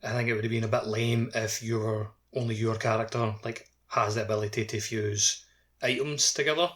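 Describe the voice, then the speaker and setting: A man's voice: on the low side, steady tone, fairly quick. A man co-hosting a podcast, chatting informally and keenly about a video game. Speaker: podcast co-host. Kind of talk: casual video game discussion.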